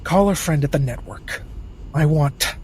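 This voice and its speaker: A man playing a cold, powerful boss in a cinematic audio drama, clipped, deliberate and quietly menacing. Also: a little high, slight background noise, lightly acted. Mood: cold, commanding. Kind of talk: cinematic radio drama acting.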